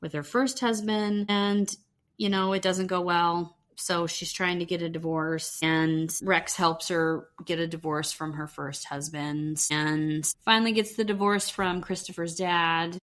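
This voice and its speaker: A woman with a slightly low-pitched voice speaks as a podcast co-host. She recaps television in a casual, conversational way, like chatting with a friend. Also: fairly even intonation, a little slow, a bit bright.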